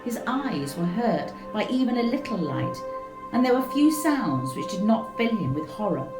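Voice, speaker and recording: A woman reading a story aloud in a slow, measured, expressive way. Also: mid-range voice. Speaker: reader. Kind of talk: storybook narration. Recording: roomy echo with faint music.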